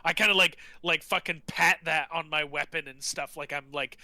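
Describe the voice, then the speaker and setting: Very bright, highish-voiced rambling man. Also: steady tone, moderate pace, no room sound. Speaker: tabletop game player. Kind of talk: casual improvised game chat.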